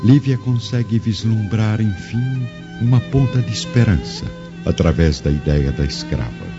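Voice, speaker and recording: A man's voice: on the low side, expressive, measured pace. A man with a Brazilian accent narrating a scripted radio drama in a solemn, dramatic manner. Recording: dull lo-fi audio with clear music bed.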